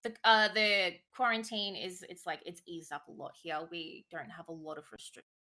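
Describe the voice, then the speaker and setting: An Australian woman being interviewed as a guest streamer, soft-spoken and relaxed, with many "um"s and easy laughs. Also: fairly low pitch, moderate pace. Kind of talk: casual interview chat.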